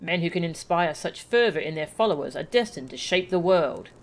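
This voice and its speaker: Woman putting on a low, solemn settlement leader. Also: animated, brisk, slight background noise. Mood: grave, urging. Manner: solemn, formal. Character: grave, dignified, wary settlement leader. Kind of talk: reading video game dialogue aloud.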